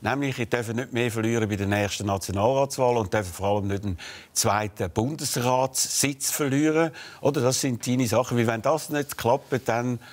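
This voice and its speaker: An older man with a Swiss German accent hosting a TV talk show, asking pointed, provocative questions in a studio. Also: medium pitch. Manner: provocative, challenging, quick follow-ups. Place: dark TV studio, seated at table. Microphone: lapel mic.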